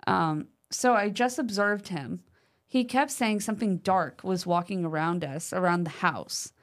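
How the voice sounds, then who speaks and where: A woman co-hosting a paranormal podcast, chatting casually and reading a listener's letter aloud with lively emphasis, using fillers like "like" and "um". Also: lowish voice, unhurried. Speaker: podcast co-host.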